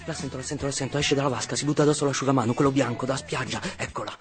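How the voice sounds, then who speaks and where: A man's voice: mid-range voice, steady tone, racing, over loud music, close to an everyday voice. An actor playing an Italian man who relives his 1980s childhood in a fragmented, vivid radio monologue. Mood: tense, vivid. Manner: fragmented, repetitive, vivid present-tense storytelling. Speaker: actor and narrator. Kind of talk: dramatic first-person radio monologue.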